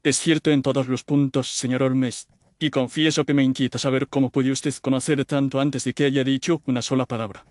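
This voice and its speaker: A man's voice: mid-range voice, flat delivery, a little fast, a little mellow, no echo, studio quality, pitched above the performer's own voice, natural voice. A man voicing a humble, anxious young insurance clerk, formal and deferential, in an audio detective story. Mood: anxious, earnest.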